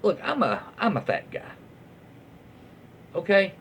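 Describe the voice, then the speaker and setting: A man's voice: high voice, wildly swooping pitch, slow, creaky, a bit bright. A man with a Southern US accent reading stories aloud casually, with folksy, rambling asides and drawling slang. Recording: dull lo-fi audio with faint noise.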